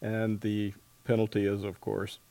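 Low-pitched man hosting, halting delivery. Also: completely flat delivery, gravelly, mellow, no echo, low-quality recording. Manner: halting, thinking aloud. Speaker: interviewer, host. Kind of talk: casual book interview.

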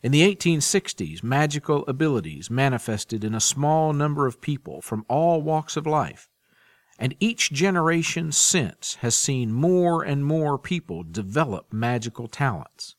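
A man's voice: medium pitch, big pitch swings, measured pace, no echo. A man hosting a podcast, presenting and interviewing in a prepared, orderly way into a close mic. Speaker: podcast host.

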